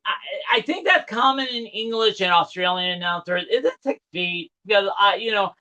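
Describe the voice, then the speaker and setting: A man's voice: high-pitched, lively intonation, a little fast, sharp tone. A man with a big voice co-hosting a casual sports recap, heard through dull, lo-fi sound. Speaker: sports show co-host.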